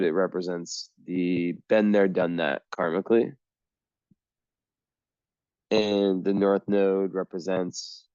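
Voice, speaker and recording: A hoarse man reading an astrology chart on his own, his voice low and unhurried. He rambles and restarts, clearing his throat often. The sound is close and dry, with a dull, lo-fi edge. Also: darkish tone, dry recording.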